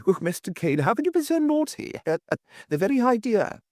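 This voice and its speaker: Giggly young lady tourist, a man's falsetto. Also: very animated, brisk, darkish tone, dry recording, studio quality. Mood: gushing.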